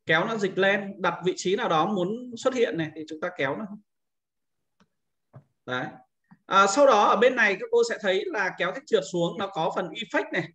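A man's voice: high-pitched, lively intonation, normal speed, a bit bright. A man giving an informal screen-recorded tutorial as an instructor, explaining things step by step and often repeating himself.

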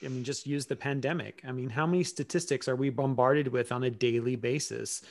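A man's voice: medium pitch, fairly even intonation, a little fast. A man in his forties hosting a casual online discussion, emphatic and fond of rhetorical questions, on a desk microphone. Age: 40s. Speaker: host. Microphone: desk microphone on a boom, video call.

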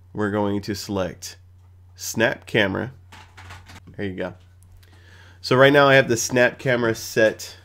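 A man's voice: fairly low pitch, fairly bright tone. A man in his thirties giving a casual, playful screen-recorded streaming tutorial in a goofy, chatty way. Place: small home room with gaming setup, indoors.

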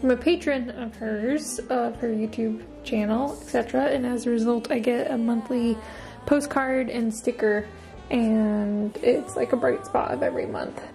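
A woman's voice: on the high side, lively intonation, normal speed, vocal fry, warm tone. A woman chatting casually in an upbeat way as she narrates a home vlog. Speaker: vlogger, host. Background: music.

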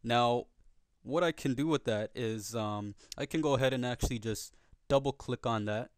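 A man narrating a software tutorial casually and conversationally, often checking in with the listener, recorded as a close voice-over. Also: medium pitch, normal speed, smooth, dry recording. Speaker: tutorial host.

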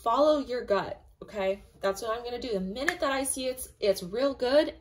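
A slightly raspy woman's voice, pitched a bit high, talking casually as she hosts a tarot reading. She rambles with lots of "um" and "you know" and punches her points with emphatic asides. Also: animated, normal speed, background noise. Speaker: tarot reader and channel host.

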